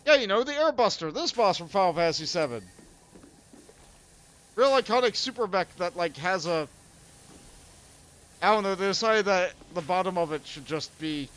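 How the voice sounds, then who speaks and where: A young man talks through a game on a stream, his voice climbing and dipping with lively swings. He rambles, jokes at his own expense and breaks into laughter. The pitch sits a little high for a man. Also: moderate pace, long pauses. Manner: rambling, self-deprecating, laughs often. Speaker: streamer host. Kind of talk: casual gaming stream chat.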